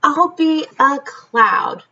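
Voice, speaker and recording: A woman's voice: high voice, lively intonation, drawn-out words, sharp tone, highs cut off, heavily acted. A woman voicing a small, polite, earnest male porcupine in a children's storybook. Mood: hopeful. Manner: earnest, polite, simple phrases. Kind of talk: storybook read-aloud character voice.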